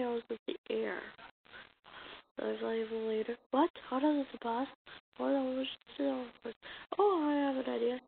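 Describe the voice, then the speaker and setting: A woman reading a comic dub as an amateur voice actor, acting out the dialogue in a halting, stumbling way on a muffled, noisy recording. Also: on the high side, gravelly. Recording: muffled lo-fi recording with noise and clicks.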